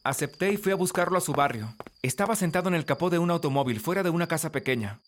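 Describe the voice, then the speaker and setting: Higher-pitched man narrating a story. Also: lively intonation, fast, very noisy. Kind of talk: scripted first-person story narration.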